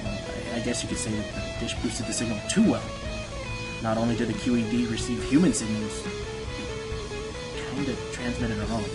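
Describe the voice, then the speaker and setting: A man's voice: medium pitch, big pitch swings, moderate pace, long pauses, natural voice, rough recording. Man acting defensive, earnest pony radio host. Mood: hesitant, uneasy. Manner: earnest, hesitant, turning indignant. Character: male Pegasus pony radio host, defensive, passionate, self-deprecating. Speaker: radio show host being interviewed. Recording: music behind the voice.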